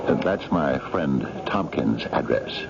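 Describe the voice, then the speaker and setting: An actor playing a crafty, courteous old chess master in a radio drama, speaking unhurriedly with polite hesitations and a gravelly voice. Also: male voice, a bass voice, animated, takes it slowly, exaggerated voice. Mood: courteous, controlling. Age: older. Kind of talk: 1970s radio drama acting. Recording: noisy, dull 1970s radio recording.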